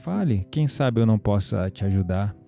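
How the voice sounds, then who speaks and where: An actor playing a calm, kindly, mystical shopkeeper in an audio drama, with a Brazilian accent and a soft, unhurried, reflective way of talking. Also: male voice, lowish voice, vocal fry, faint music behind. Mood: gently concerned. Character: calm, kindly mystical young man, incense shop keeper.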